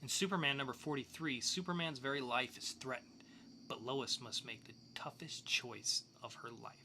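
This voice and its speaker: A man's voice: mid-range voice, moderate pace, pushed and bright, a little hiss or hum. A man co-hosting a podcast, presenting scripted segments in an upbeat style. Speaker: podcast co-host.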